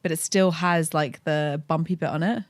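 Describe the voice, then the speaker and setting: A British woman hosting a podcast in a casual, chatty, informal and animated way. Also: deep voice, moderate pace, dry recording, studio quality. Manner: informal, sweary, animated and giggly. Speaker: podcast host.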